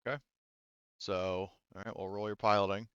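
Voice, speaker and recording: Clear-voiced man refereeing a tabletop game. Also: fairly low pitch, flat delivery, fairly bright tone, no room sound, muffled recording. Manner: halting, thinking aloud while checking rules. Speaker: game master.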